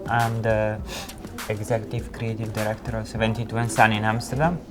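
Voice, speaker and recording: A man in his forties from Italy with an Italian accent, a creative director recounting his career in an informal interview in hesitant, halting phrases. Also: fairly low pitch, little pitch movement, over music. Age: 40s. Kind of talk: informal interview, telling own career story.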